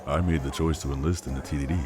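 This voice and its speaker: Very deep-voiced weary operative played by a man. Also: fairly even intonation, rapid-fire. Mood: weary, reflective. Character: weary, cautious male operative, devoted new father. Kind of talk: naturalistic dramatic dialogue. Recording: background music and crowd under dialogue.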